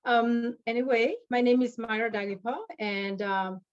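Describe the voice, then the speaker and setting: A woman from tells her own story as a panel guest, speaking English learned as an adult. Her delivery is earnest and halting, full of restarts. The video call audio sounds dull and lo-fi. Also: on the high side, fairly even intonation. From Burma. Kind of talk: personal story at an online panel.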